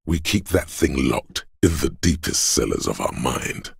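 A man voicing a grim, stoic inner voice of endurance for a game, in a solemn, portentous manner with a husky, gravelly quality. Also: extremely low pitch, sing-song, a little slow, dry recording, clean studio sound, exaggerated voice. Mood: solemn, menacing. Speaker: voice actor. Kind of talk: dramatic game narration, second person.

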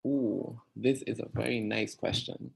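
A young man with an Antiguan accent answering interview questions in a measured, reflective way. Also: medium pitch, flat delivery, vocal fry, darkish tone, breathy edge, poor audio. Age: young adult. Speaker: podcast guest. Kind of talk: thoughtful interview talk.

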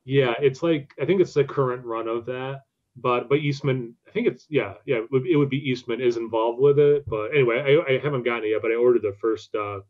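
A man in his thirties in relaxed podcast conversation, speaking from home on a video call. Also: medium pitch, a little fast. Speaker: podcast co-host. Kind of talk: casual chat. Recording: slightly dull lo-fi call audio.

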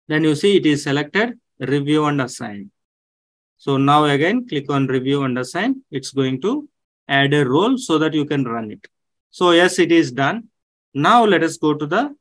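Man giving a step-by-step software tutorial. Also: on the high side, no echo. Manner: step-by-step, repeats himself, many "okay" and "so" fillers. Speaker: online tech instructor. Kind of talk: screen-share tutorial walkthrough. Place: indoors at a desk.

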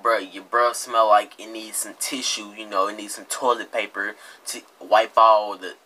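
A man's voice: lowish voice, flat delivery, moderate pace, pushed and bright, low-quality recording. A young American man giving casual, slangy comedic commentary, mocking and exasperated, often repeating himself. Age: young adult. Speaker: reaction video host. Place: indoor room with green screen.

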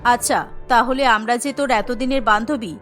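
A woman's voice: on the high side, fairly even intonation, normal speed, a bit bright, faint music behind, voice pushed down, close to an everyday voice. Woman doing a spoiled rich college girl in a cartoon, scolding, sneering. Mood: scornful.

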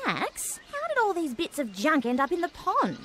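A woman's voice: high-pitched, wildly swooping pitch, moderate pace. A woman voicing Millie, a sweet young farm girl puppet in a children's show. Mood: cheerful. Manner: sing-song, warm and gently enthusiastic. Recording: studio voice over music.

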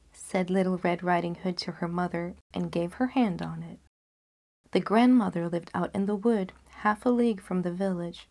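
Low-pitched young woman storyteller. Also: creaky, darkish tone. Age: young adult. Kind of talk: expressive fairy-tale reading.

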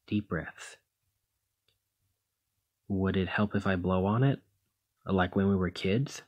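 Casting: male actor as protective demigod hero for ASMR roleplay; deep, rough-edged voice, exasperated yet tender. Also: slow. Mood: soothing. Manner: exasperated, bossy, caring.

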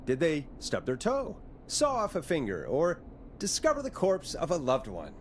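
Deadpan radio DJ, lively intonation. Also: male voice, a little high, moderate pace, a little hiss or hum. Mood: showy on-air hosting. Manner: deadpan, grudging. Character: weary, dry-witted male late-night radio DJ. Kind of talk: game voice acting.

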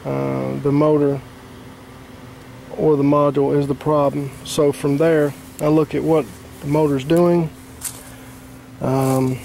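Gravelly, flat-voiced repair technician. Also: male voice, a little high, slow pace, long pauses, a little hiss or hum. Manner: plain-spoken, talks through each step with fillers. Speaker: HVAC technician. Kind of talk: casual hands-on repair demonstration. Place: outdoors beside an air-conditioning unit.

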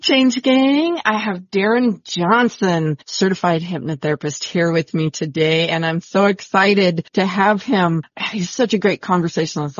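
A woman's voice: medium pitch, dry recording, muffled recording. A woman hosting a podcast, chatting enthusiastically with a guest over a remote call. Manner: enthusiastic, effusive. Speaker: podcast host. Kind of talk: casual podcast interview.

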